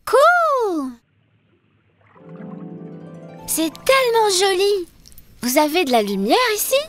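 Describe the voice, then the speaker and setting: A woman's voice: very high-pitched, huge pitch swings, a little slow, exaggerated voice. A woman voicing a curious, confident young girl adventurer in a French children's cartoon dub. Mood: amazed. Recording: music behind the voice.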